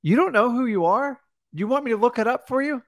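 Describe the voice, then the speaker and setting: A middle-aged man hosting a studio podcast, coaching viewers in a forceful, direct way. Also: very high-pitched, expressive, fast, no echo. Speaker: host. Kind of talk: casual podcast coaching talk. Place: studio with backdrop. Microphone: studio mic on boom arm.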